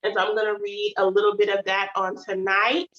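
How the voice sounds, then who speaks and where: A woman's voice: mid-range voice, flat delivery, a little fast, a bit bright, echoey room, lo-fi sound. A woman hosting her own channel, chatting casually to her audience, calling them "y'all," and sounding slightly nasal from a stuffy nose. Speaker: author and channel host. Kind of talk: casual livestream chat.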